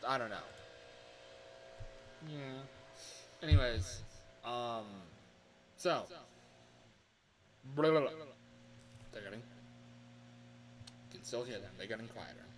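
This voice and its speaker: Man, slow pace, mid-pitched voice, casual livestreamer, exasperated, sweary, self-mocking. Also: a little hiss or hum. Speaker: livestreamer recording voice lines. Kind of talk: casual rambling livestream chat.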